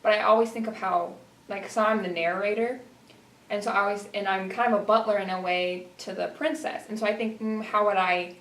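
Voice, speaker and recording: A teenage girl talks casually as a student actor being interviewed. Her pitch is middling. The room echoes strongly and the microphone is some way off, with light noise. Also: steady tone, fairly quick, fairly bright tone. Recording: echoey room, distant mic, faint noise.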